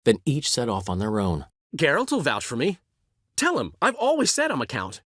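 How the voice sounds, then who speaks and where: A man's voice: medium pitch, wildly swooping pitch, moderate pace, fairly bright tone, no echo, clean studio sound. An actor playing a flamboyant, vain and charming male bard in game dialogue, theatrical, wry and boastful. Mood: showy. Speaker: game character.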